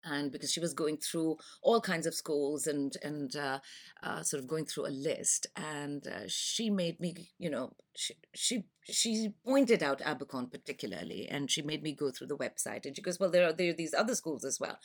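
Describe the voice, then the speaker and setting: A middle-aged woman from Lahore with a Pakistani English accent, speaking as a parent guest on a podcast and pausing often to gather her thoughts. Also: sits low. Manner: thoughtful, many hesitations and restarts. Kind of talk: podcast interview.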